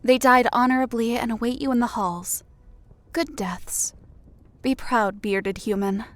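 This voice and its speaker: A woman's voice: a little high, steady tone, unhurried, no echo, natural voice. A woman voicing a regal death goddess in an audiobook, lofty, sweet and composed. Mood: comforting. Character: regal female death god, lofty, serenely amused. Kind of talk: dramatised audiobook character dialogue.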